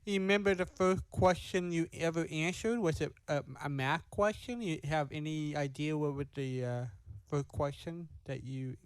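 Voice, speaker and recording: A man's voice: on the high side, normal speed, hardly any pauses, clean tone, no echo. A man conducting a casual interview on a video call, tentatively rephrasing his questions as he goes.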